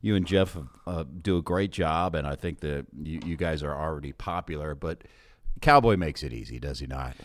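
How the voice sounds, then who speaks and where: A man hosting a sports podcast in a casual, animated, jokey and self-deprecating way. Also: a bass voice, normal speed, dry recording. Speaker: podcast host and sports broadcaster. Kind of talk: casual chat.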